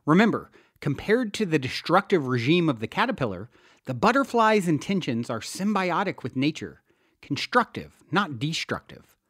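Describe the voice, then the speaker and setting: A man from the US East Coast reading his own self-help book in a deliberate, earnest way. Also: fairly high pitch, big pitch swings, fairly bright tone, slightly rough, no echo, studio quality. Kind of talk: scripted audiobook narration.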